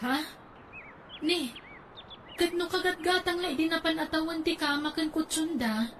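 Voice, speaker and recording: A woman acting in an Ilocano audio drama, speaking gently and reassuringly. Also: very high voice, moderate pace, slight background noise.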